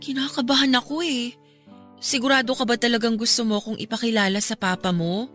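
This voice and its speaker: A gentle, nervous young girlfriend in a radio drama played by a woman. She speaks hesitantly and politely in a somewhat high voice, with faint music underneath. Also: fairly even intonation, a little fast, lightly acted.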